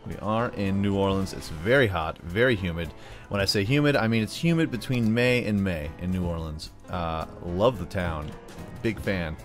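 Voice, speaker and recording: An American man with a fairly low voice talks over a bed of music. He commentates on a game casually, rambling as he thinks aloud. His manner is playful and unscripted, the easy patter of a video host at work. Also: moderate pace. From United States. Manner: playful, often slips into put-on drawls. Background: background music.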